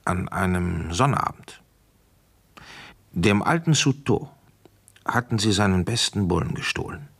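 Deep-voiced, grumbling village police commissioner. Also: male voice, expressive, a little slow, vocal fry, pauses often, fairly bright tone, husky, heavily acted. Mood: matter-of-fact narrating. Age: middle-aged. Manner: grumbling, brusque, dryly resigned. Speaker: narrator and lead character. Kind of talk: German radio crime drama acting.

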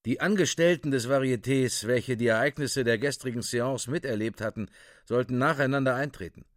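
A man's voice: mid-range voice, brisk, dry recording, clean studio sound. A man narrating a literary radio drama in a clipped, report-like delivery.

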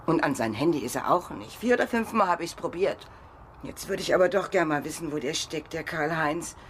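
A woman's voice: low-pitched, animated, brisk, slight background noise. An actress in a radio crime drama playing a naive, chatty, worried girlfriend who rambles colloquially. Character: naive chatty woman, worried girlfriend under police questioning.

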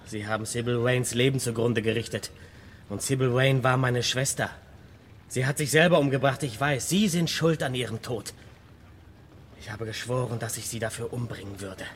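An actor playing a grim, haunted sailor bent on revenge, terse and intense, in a radio play. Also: male voice, medium pitch, moderate pace, close to an everyday voice. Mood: menacing. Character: grim, vengeful stocky sailor, hard and haunted. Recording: long hall reverb, faint background noise.

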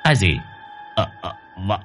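A man voicing a well-meaning older village chief who speaks haltingly, repeating his words. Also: deep voice, sing-song, a bit bright, soft background music. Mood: puzzled. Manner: halting, stammering repetitions.

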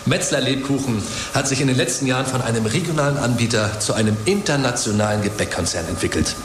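A man's voice: medium pitch, quick pace, natural voice. An actor playing a chatty, jovial company spokesman with a salesman's manner in a radio drama. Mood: jovial, eager. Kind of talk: naturalistic radio drama acting. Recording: echoey room with noisy ambience.

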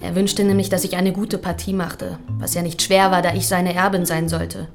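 An actress playing a cool, clever heiress in a radio crime drama, speaking frankly, precisely and with composure. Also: female voice, lowish voice, little pitch movement, normal speed, soft background music, lightly acted. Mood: defiant, frank. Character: cool, frank, clever daughter of rich man.

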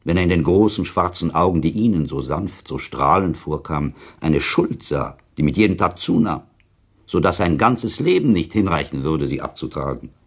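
Worldly ironic gentleman, super deep husky voice, picks arguments apart in a radio play. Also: animated, normal speed, full character voice. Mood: coolly argumentative. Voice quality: gravelly, husky. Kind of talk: German radio play, literary dialogue.

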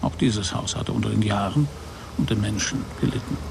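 A dry wry detective narrating a radio crime play, gravelly voice, unruffled, bit of noise behind. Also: male voice, fairly low pitch, normal speed. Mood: wry, observant. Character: male private detective, wry, unruffled, observant first-person narrator. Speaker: narrator and lead actor.

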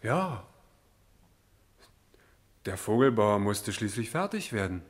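A placid, slow-witted porter on trial in a radio satire. He talks at a crawl in a lowish voice, bewildered and matter-of-fact, with long hall reverb around him. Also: sing-song. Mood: placid, halting. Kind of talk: satirical radio drama acting.

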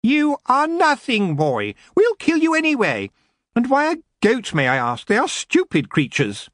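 Shrill, sneering elf prince. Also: male voice, huge pitch swings, a little slow, fairly bright tone, dry recording, clean studio sound, heavily acted. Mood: sneering contempt. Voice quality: screechy, silky. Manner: taunting, disdainful. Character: haughty, sneering male elf prince, silky and cruel.

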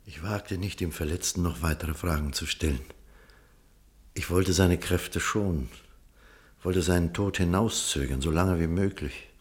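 Earnest doctor, deep voice, German radio drama, firm, urgent, reasoning. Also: male voice, a little slow. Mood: grave narrating. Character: earnest male doctor recounting and pleading with a patient. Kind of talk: German radio drama acting and first-person narration.

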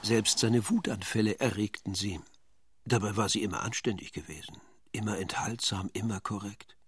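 A man's voice: on the low side, normal speed, breathy edge, no room sound. A man narrating a German crime radio play as a wry, detached storyteller with a dry, sardonic manner. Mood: dry, intimate. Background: music.

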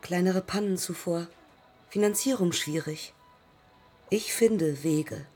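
A woman's voice: fairly low pitch, slow pace, a little mellow, slightly rough, faint music behind. An actress playing a proud yet self-doubting woman aviator in a poetic radio play, confessing in clipped, terse fragments. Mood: confessional. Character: heroic, self-doubting young woman aviator who took her own life.

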